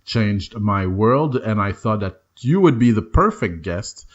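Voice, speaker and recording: A man's voice: on the low side, lively intonation, unhurried, highs cut off. A man hosting a podcast, chatting casually and rephrasing his questions as he feels his way through them. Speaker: podcast host. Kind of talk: casual interview.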